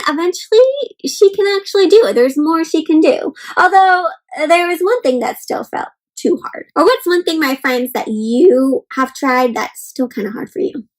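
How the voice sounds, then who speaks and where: A woman's voice: high voice, low-quality recording. A woman hosting an interactive children's picture-book read-aloud, speaking expressively and encouragingly in simple phrases and putting questions to her young listeners.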